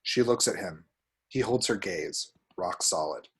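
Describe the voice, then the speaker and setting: A man narrates the action lines of a screenplay at a table read. His voice sits fairly low and has a husky, unpolished grain. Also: animated, unhurried, fairly bright tone.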